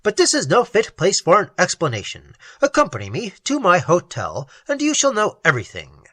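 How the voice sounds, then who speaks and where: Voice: mid-range voice, wildly swooping pitch, brisk, a bit bright, breathy edge, dry recording, exaggerated voice. A reader voicing a passionate young nobleman who speaks with urgent command in a classic audiobook.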